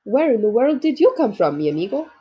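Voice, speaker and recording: A woman's voice: on the high side, very animated, fast talker, muffled recording, slight background noise, voice pushed up. A woman voicing a kindly male newsstand owner in a friendly, reassuring way for a storybook.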